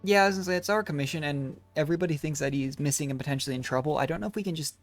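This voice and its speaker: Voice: medium pitch, very fast, no room sound. A game streamer giving casual playthrough commentary, musing and a little hesitant while thinking aloud. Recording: game music behind the voice.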